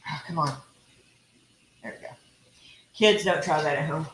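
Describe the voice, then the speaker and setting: A woman's voice: deep voice, measured pace, slight background noise. Texan woman, home cooking host, teasing, roomy kitchen sound. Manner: chatty, teasing, laughs often. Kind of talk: casual live cooking chat.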